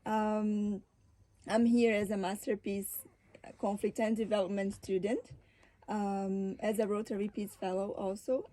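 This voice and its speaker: A woman hosts a campus radio chat show in a mellow, warm voice at a middle pitch. She talks enthusiastically and chattily, leaning on fillers. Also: rough recording. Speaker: chat show host, society vice president. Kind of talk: casual chat show hosting.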